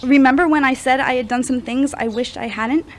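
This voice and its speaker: A woman's voice: sits high, fairly quick, a little hiss or hum, lightly acted. A woman playing a troubled, earnest young woman in an audio drama, speaking confessionally. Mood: pained, confessing. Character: troubled young woman opening up about past grief. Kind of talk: amateur audio drama acting.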